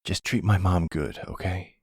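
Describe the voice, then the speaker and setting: A man playing a troubled young adult son in a roleplay audio drama, casual and defensive, with a husky voice and raw feeling. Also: low-pitched, unhurried, dry recording, clean studio sound, exaggerated voice. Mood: grudging gratitude.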